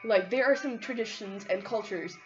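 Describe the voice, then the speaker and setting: A woman's voice: medium pitch, normal speed. A woman from Pakistan, an Urdu speaker, answers interview questions in an informal, conversational way with frequent filler phrases. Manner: informal, conversational, peppered with "like" and "you know". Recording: dull lo-fi audio, music behind.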